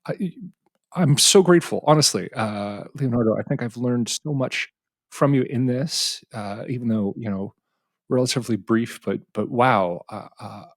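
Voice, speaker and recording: Man hosting a podcast, husky voice, halting restarts, dry close mic. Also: medium pitch, dry recording. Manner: halting, many restarts, thinking aloud. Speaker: podcast host. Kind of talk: conversational interview.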